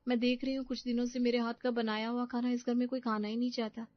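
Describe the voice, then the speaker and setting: A woman voicing an anxious, sweet young daughter-in-law in an animated family story, often hurt and tearful. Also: a little high, fast talker, no room sound, lo-fi sound, voice pushed up, close to an everyday voice.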